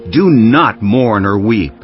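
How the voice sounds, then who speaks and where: Slow, solemn biblical leader. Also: male voice, fairly low pitch, expressive. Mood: firm, solemn. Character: solemn biblical leader instructing a gathered people. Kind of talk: dramatized audiobook scripture acting. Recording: dull lo-fi audio with music behind.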